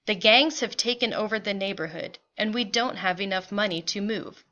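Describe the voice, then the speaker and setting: A woman's voice: medium pitch, normal speed, sharp tone, muffled recording, lightly acted. A woman voicing an anxious, weary migrant mother who sounds worried and plaintive as she fears for her children.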